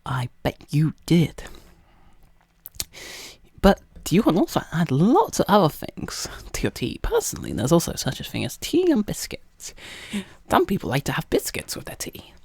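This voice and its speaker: A young British man doing a playful, coaxing ASMR roleplay in casual instructional chat, often slipping into a breathy whisper. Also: fairly high pitch, wildly swooping pitch, moderate pace, dry recording.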